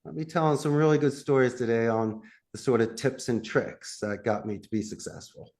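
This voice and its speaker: A man with a Philadelphia accent, a call center CEO giving animated, emphatic interview answers with lively pitch swings and frequent laughs. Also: brisk.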